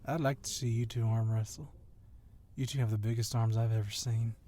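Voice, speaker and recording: Man playing a mellow, self-assured cop wrestler. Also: mid-range voice, steady tone, fairly quick, slight background noise, close to an everyday voice. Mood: casual, admiring. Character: tough, confident undefeated police wrestling champion, teasing rival. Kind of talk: ASMR roleplay audio drama.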